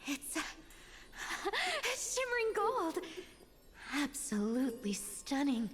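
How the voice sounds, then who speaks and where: A woman's voice: very high-pitched, wildly swooping pitch, very slow, a bit bright, faint music behind, exaggerated voice. A woman playing a sly, brash female thief in a video game, impatient and sardonic. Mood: intrigued. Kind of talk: video game character acting.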